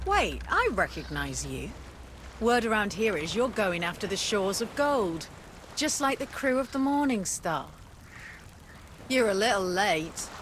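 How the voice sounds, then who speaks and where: An actress playing a gruff, no-nonsense female shipwright who talks bluntly and dismissively in a game cutscene. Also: lowish voice, fairly bright tone, slight background noise. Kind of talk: video game cutscene voice acting.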